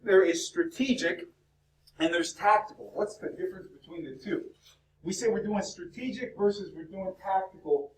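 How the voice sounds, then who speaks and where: A male instructor lecturing a university class in a lively, chatty way, peppering students with questions, his voice cracking. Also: sits high, moderate pace, slight background noise. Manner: talkative, upbeat, fires questions at the class. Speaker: business instructor. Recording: echoey classroom from far off.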